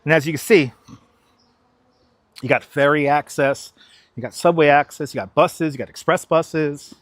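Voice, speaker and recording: A man's voice: fairly high pitch, normal speed, dry recording. A young man, talking casually and with strong opinions to his livestream viewers while walking outdoors. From New York City. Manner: chatty, opinionated, talks straight to viewers. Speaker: livestream host. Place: outdoors on city streets. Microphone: wireless clip-on mic.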